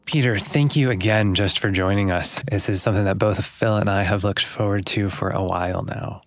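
A man's voice: lowish voice, no echo. A man hosting a podcast, introducing and welcoming a guest in a conversational way. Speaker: podcast host.

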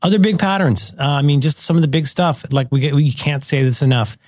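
A slightly hoarse man co-hosting a podcast, chatting casually in a rambling, self-deprecating way. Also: a little high, brisk, a little mellow, dry recording, studio quality. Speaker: podcast co-host. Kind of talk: casual chat.

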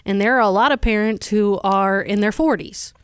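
A woman's voice: mid-range voice, normal speed, clean tone, no room sound, clean studio sound. A woman in her thirties co-hosting a casual chat, supportive and reflective, with frequent affirmations. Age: 30s. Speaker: co-host.

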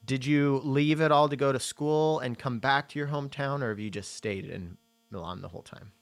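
A man's voice: medium pitch, brisk. A man in his thirties hosting a podcast, talking casually with many fillers and restarts, then interviewing a guest. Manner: rambling, filler-heavy, enthusiastic. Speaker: podcast host.

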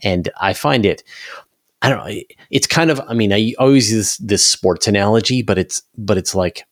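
A man's voice: lowish voice, normal speed, no echo. A man speaks in a casual interview. He explains with emphasis and animation, and the sound is close and dry. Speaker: interview guest, space channel creator.

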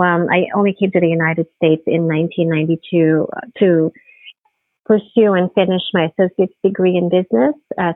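A middle-aged woman from the Philippines, a company head, talking haltingly in a podcast interview with many fillers and restarts. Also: deep voice, no room sound. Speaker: guest, firm CEO. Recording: tinny phone-like line.

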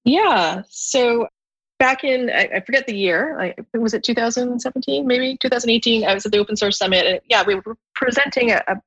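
A woman from Canada, a guest on a podcast, talking thoughtfully in a relaxed conversation, often restarting her sentences. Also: a little high, brisk, husky, dull audio. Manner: thoughtful, with frequent restarts and fillers. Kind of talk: conversational interview.

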